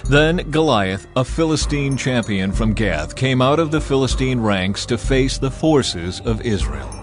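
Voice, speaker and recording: A man narrating scripture in a measured, dramatic reading. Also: medium pitch, lively intonation. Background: faint music.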